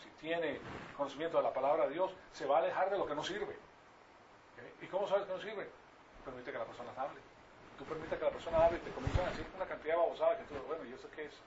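An older man from Venezuela with a Venezuelan Spanish accent, preaching an informal Bible lesson in a didactic, repetitive way with rhetorical questions to his listeners. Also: fairly high pitch. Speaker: preacher teaching a congregation. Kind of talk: informal Bible-teaching sermon. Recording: distant mic, muffled, clearly noisy.

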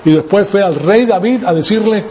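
A man's voice: sits high, brisk, mellow, a little hiss or hum. A man raised in Venezuela preaching a sermon, emphatic, asking rhetorical questions and tagging lines with "ok?". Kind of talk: sermon teaching.

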